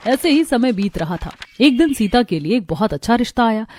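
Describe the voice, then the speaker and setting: A woman narrating a cartoon moral story in an even storytelling manner. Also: a little high, a little fast. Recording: faint background music.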